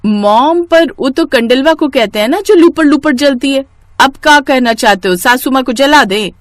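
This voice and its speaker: A woman's voice: sits high, normal speed, no room sound, clean studio sound, voice pushed up, natural voice. A woman voicing a bold, naive young village bride with a rustic eastern Hindi accent and brash, folksy manner. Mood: naive, earnest.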